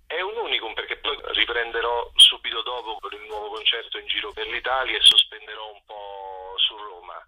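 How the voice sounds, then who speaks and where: A man's voice: fairly low pitch, brisk, hardly any pauses, sharp tone, slightly rough. A man being interviewed on radio over a phone line, talking reflectively and hesitantly as he thinks aloud. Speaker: poet and singer-songwriter guest.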